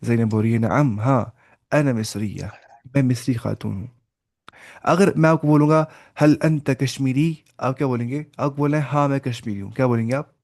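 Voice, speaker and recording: A man's voice: medium pitch, a little mellow, no room sound, clean studio sound. Patient man teaching a class on a call. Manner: slow, careful sounding-out of example phrases; patient, repetitive explaining. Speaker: Arabic teacher. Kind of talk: online class lecture. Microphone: video call.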